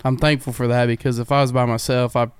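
A man's voice: mid-range voice, fast talker, smooth, no room sound. A man, a race car driver telling stories casually on a podcast. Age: 20s. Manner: relaxed storytelling with a laugh.

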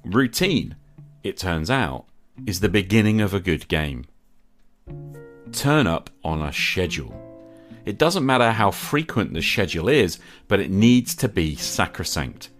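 Middle-aged man, podcast host, low pitch, lively intonation, earnest emphatic phrasing, light music behind. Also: measured pace. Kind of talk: scripted podcast monologue.

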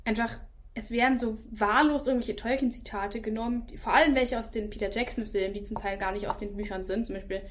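A woman's voice: on the high side, fairly even intonation, fast. A woman co-hosting a casual review chat, voicing firm opinions with frequent "ähm" fillers.

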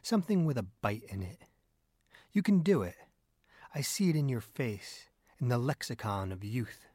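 A man voicing a blustering, excitable newspaper editor. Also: mid-range voice, normal speed, no room sound, clean studio sound. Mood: urging. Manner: emphatic, blustering. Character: excitable, scornful, bullying male newspaper editor.

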